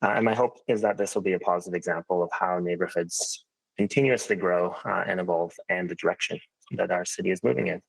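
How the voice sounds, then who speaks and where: A man's voice: low-pitched, fast, creaky, husky, no room sound, rough recording. A man giving an earnest, conciliatory prepared statement at a council hearing, with frequent fillers. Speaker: public speaker at a council hearing. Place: council chamber.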